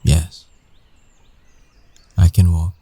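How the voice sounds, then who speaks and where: Casting: male actor as a secretive werewolf ex, low and slow, halting and earnest, intimate audio-roleplay delivery. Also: level tone, dark tone, heavily acted. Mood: weary, shaken. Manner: halting, hesitant, earnest, with long pauses. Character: guarded werewolf ex-boyfriend, young adult man, shaken, earnest, secretive. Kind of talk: intimate ASMR boyfriend roleplay acting.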